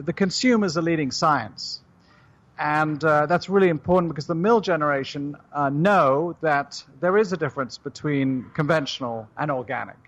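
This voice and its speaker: A middle-aged man with a British accent talks in a measured, even way, pitched a little high for a man. He sounds like a guest answering questions on a radio show, and the audio comes through dull and lo-fi. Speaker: company CEO guest.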